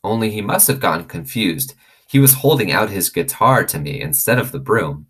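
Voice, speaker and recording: A man with a very low, husky voice reads a children's story aloud. His intonation is animated and expressive, in the way of a storyteller. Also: moderate pace. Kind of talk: expressive reading of a children's novel.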